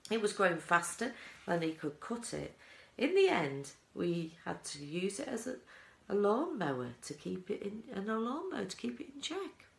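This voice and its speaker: Woman voicing an excitable, bossy storybook chocolate inventor. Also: medium pitch, vocal fry, pitched above the performer's own voice. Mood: boastful. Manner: exclamatory, hurried, boastful, scolding. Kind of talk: children's storybook character reading. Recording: roomy, echoing indoor sound.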